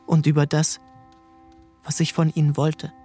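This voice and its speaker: Troubled narrator, radio drama, somewhat high, calm, faint music under. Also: male voice, measured pace. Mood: reflective. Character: troubled young man looking back on his youth. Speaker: first-person narrator.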